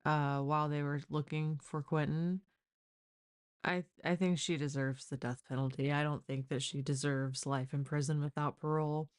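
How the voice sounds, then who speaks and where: A woman's voice: deep voice, little pitch movement, moderate pace, clear, resonant tone. A woman hosting a casual true-crime live stream, speaking bluntly and informally with the odd swear word.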